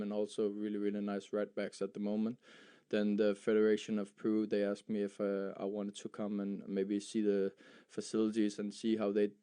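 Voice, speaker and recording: Casual: young danish guy, footballer, mellow smooth voice, stops and repeats himself a lot. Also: lowish voice. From Denmark. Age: young adult. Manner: halting, many fillers and repeated words. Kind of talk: post-match style interview.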